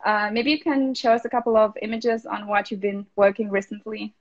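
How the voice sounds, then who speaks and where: A woman's voice: mid-range voice, fairly even intonation, fairly quick, muffled recording. A young woman hosting a live interview, friendly and hesitant with many fillers, on a phone video call. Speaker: interviewer, residency host. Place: indoor room.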